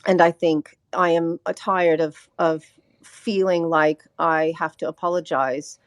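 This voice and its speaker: Earnest American woman, low and dry. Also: steady tone, no room sound. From United States. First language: Ukrainian. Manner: earnest, halting, with frequent restarts. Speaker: podcast guest. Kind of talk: reflective podcast talk.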